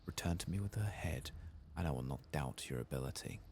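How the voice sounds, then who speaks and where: A man voicing a solemn, commanding fantasy demon hunter, reading game dialogue in a hushed, formal, measured way. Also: very deep voice, slight background noise. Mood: solemn, vengeful. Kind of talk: soft-spoken dramatic reading of game text.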